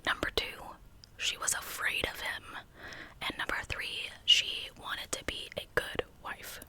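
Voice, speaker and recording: A woman's voice: measured pace, pushed and bright, low-quality recording. A woman whispering a true crime story in ASMR style as a channel host, with conversational asides to listeners.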